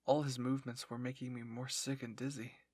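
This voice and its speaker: A man's voice: mid-range voice, level tone, fairly quick, a little mellow, breathy edge, no room sound. A man narrating a dramatic first-person story in an intense, emotive way. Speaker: narrator of fan fiction roleplay.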